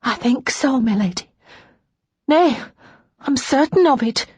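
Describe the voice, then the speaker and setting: A woman voicing an excitable, deferential lady's maid in an audiobook, speaking with urgency. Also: high-pitched, takes it slowly, husky, no room sound, pitched above the performer's own voice, heavily acted. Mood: earnest, concerned. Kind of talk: audiobook character dialogue.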